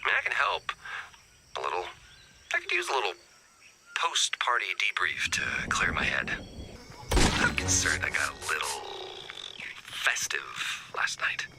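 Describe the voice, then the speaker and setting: Excitable, wildly animated goofy male scientist. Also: sits low, moderate pace, long pauses, noisy background, full character voice. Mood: sheepish, giddy. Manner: rambling, comic, swings from whispered asides to exclamations. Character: excitable goofy male scientist agent, talkative, self-deprecating. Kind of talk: scripted game character dialogue.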